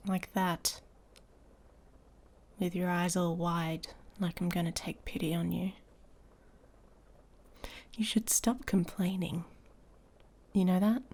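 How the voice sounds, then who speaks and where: A woman playing an irritable, sarcastic she-wolf pack heir in an intimate ASMR audio roleplay, soft and breathy, often near a whisper. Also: lowish voice, full character voice. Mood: scornful, annoyed. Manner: sardonic, exasperated, drawling with sighs.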